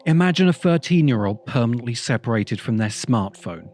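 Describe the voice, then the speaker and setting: A man reading a scripted video essay in an earnest, measured, literary manner. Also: mid-range voice, expressive, a little mellow. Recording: music bed under the voice. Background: background music.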